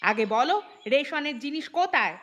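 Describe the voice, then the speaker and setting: Woman acting a high-voiced, gluttonous nagging wife. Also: sing-song, normal speed, fairly bright tone. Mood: demanding. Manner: nagging, scolding, melodramatic. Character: gluttonous, quarrelsome wife, loud and demanding.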